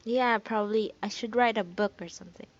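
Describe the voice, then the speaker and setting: A woman's voice: medium pitch, brisk. A woman giving casual, sentimental reactions as she plays through a video game, recorded on a lo-fi microphone. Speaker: game streamer. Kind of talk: casual playthrough commentary. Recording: dull lo-fi microphone.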